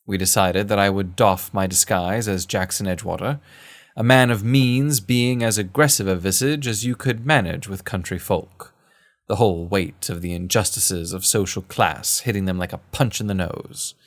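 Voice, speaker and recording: A man playing a genteel adventurer who narrates his own period tale in formal, measured, literary phrasing. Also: lowish voice, close to an everyday voice. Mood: measured, grave. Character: male first-person narrator, genteel adventurer recounting a kidnapping case. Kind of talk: scripted period-story narration.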